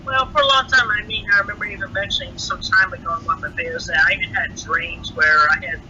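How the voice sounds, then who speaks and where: A man talking on a private phone call in a halting, stiff way with oddly formal wording. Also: high-pitched, fairly even intonation, bright, forceful tone. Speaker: interviewee on a leaked call. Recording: muffled, noisy leaked phone recording.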